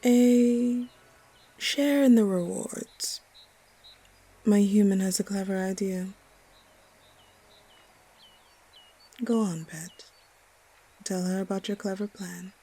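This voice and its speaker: A woman playing a commanding, protective snake woman, dominant yet fond, speaking with measured authority in a fantasy audio roleplay. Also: mid-range voice, fairly even intonation, mellow, breathy edge. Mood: persuasive. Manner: measured, authoritative, fond toward her human.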